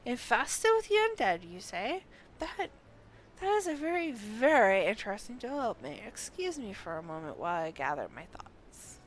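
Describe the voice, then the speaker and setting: A woman reading game quest dialogue as a curious, self-important female scholar with a formal, deliberate, sometimes halting delivery. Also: fairly high pitch, big pitch swings. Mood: intrigued. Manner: formal, deliberate, halting over odd words. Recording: clearly noisy background.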